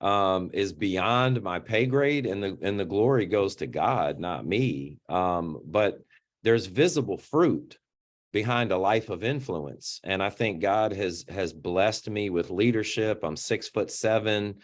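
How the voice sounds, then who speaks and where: Deep-voiced earnest man, low pitch. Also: lively intonation, takes it slowly. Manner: earnest, faith-driven, repeats words when starting sentences. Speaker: interview guest, youth organization founder. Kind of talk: reflective conversational interview.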